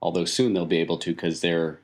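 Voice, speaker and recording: A man's voice: sits low, little pitch movement, fairly quick, vocal fry. A man playing a matter-of-fact circus clown in a tabletop game, explaining things casually.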